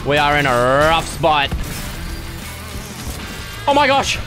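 A young man commentating his own gameplay, loud and dramatic, with squealing yelps and groans, his voice starting to go hoarse. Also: fairly high pitch, sing-song, slow pace, music in the background. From Australia. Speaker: gaming YouTuber.

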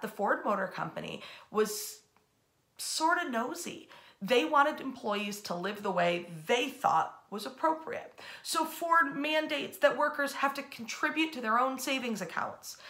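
An American woman in her thirties telling history informally, with an animated, emphatic delivery. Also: fairly high pitch. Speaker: history storyteller and host. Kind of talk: informal history lesson told as storytelling. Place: bedroom, indoors.

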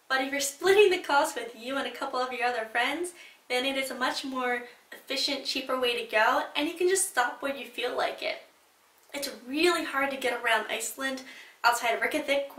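A young woman sits on a couch in a small room, chatting to the camera and gesturing as she gives travel tips. Her voice is bright and on the high side. The sound is roomy, picked up by a camera a short way off. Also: distant mic. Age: young adult. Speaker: travel vlogger. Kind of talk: seated vlog commentary. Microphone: camera a little way off.

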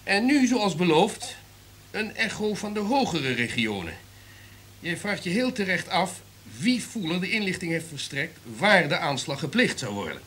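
An actor playing a ruthless, commanding radio station director in a radio drama, imperious and authoritative. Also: male voice, high-pitched, sing-song, normal speed, sharp tone, breathy edge, exaggerated voice. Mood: cold, calculating.

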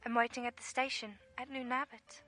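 A woman's voice: on the high side, flat delivery, fast talker, pushed and bright, no room sound, soft background music. An actress playing an eerie, insistent woman on a call in a radio play, plaintive and pressing. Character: eerie, insistent young woman calling from a station.

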